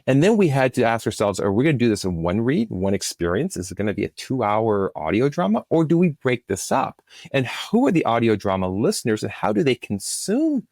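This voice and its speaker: A man's voice: mid-range voice, very animated, a little fast, gravelly, darkish tone, no room sound, clean studio sound. A man speaking as a producer and guest in an interview, explaining conversationally and often restarting his phrases.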